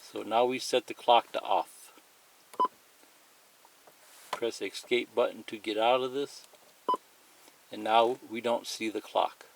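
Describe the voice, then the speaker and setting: A man's voice: medium pitch, steady tone, takes it slowly, long pauses. A man giving a casual, plain-spoken, step-by-step demonstration as a tutorial presenter. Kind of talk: casual hands-on product demonstration. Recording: clearly noisy amateur recording, clicks and beeps.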